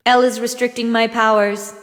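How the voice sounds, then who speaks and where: A woman's voice: fairly high pitch, level tone, moderate pace, lightly acted. A woman voicing an emotionless artificial young girl in a video game, speaking formally and literally with earnest questions. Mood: guarded, calm. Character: emotionless young girl, artificial being who calls herself a tool. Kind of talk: English video game voice acting. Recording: clear music behind the voice. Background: music.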